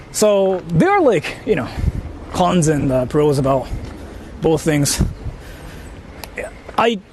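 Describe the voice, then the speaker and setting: High-pitched Japanese man livestreaming outdoors. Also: a little hiss or hum. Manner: animated, repetitive, halting phrasing. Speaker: live-streamer. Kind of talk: casual livestream chat to viewers. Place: outdoors in a city.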